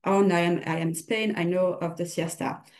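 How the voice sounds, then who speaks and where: A woman's voice: on the low side, fairly even intonation, fairly quick, darkish tone. Chatty tutor woman, slight Belgian French accent. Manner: chatty, self-correcting, uses personal examples. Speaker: exam tutor. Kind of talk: recorded study lecture.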